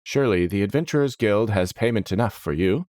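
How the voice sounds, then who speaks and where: Man playing a brisk, stern fantasy court steward. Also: on the low side, lively intonation, a little mellow, no echo, clean studio sound, pitched above the performer's own voice, lightly acted. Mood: impatient, dismissive. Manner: brisk, formal, commanding. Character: stern, officious male steward of a lord's court.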